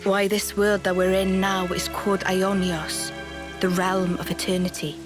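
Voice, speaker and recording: A woman voicing a calm, wise young woman in a video game, explaining things in a measured way over game music. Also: medium pitch, natural voice. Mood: solemn. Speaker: game character Nia. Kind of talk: video game cutscene dialogue. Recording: game cutscene with music behind.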